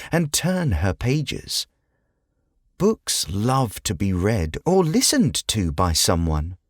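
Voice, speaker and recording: A man narrates a children's tale in a measured storyteller's manner. His delivery is slow and his pitch moves expressively from phrase to phrase. The sound is close and dry. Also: dry recording. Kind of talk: scripted children's audiobook narration.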